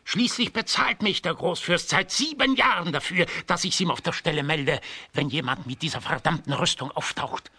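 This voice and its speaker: Tense, husky, agitated innkeeper. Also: male voice, on the high side, normal speed, long pauses, exaggerated voice. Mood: urgent, agitated. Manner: urgent, excitable. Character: agitated, greedy innkeeper, a paid informer. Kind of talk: children's radio play acting.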